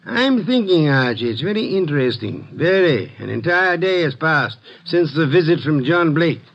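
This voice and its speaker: An actor playing a shrewd, formal private detective who gives orders in precise, commanding speech, in a 1950s radio drama. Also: male voice, fairly high pitch, sing-song, normal speed. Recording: dull lo-fi old radio recording.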